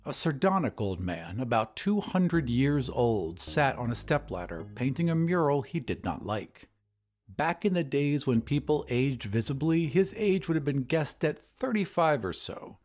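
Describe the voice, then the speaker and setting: Expressive male storytelling narrator. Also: medium pitch, measured pace, soft background music. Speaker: podcast host and narrator.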